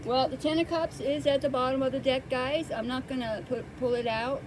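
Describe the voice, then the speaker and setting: Soft-spoken woman giving a tarot reading. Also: sits high, fairly even intonation, a little fast, noisy background. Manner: soft-spoken, hesitant with many fillers. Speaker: tarot card reader. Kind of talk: casual tarot reading to camera. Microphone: close microphone.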